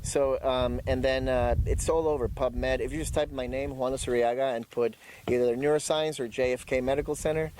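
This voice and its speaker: A man's voice: medium pitch, fairly even intonation, brisk, darkish tone, slight background noise, rough recording. Chatty man, laughing often. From Ecuador. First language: Spanish. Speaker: guest, dog trainer. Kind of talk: casual interview chat.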